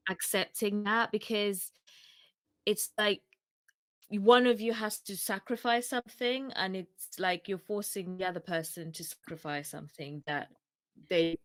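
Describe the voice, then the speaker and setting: A woman's voice: mid-range voice, fairly even intonation, measured pace, no echo. A young woman from Uganda with a British accent, a podcast co-host musing in casual chat with frequent restarts. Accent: British English. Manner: reflective, rambling with restarts.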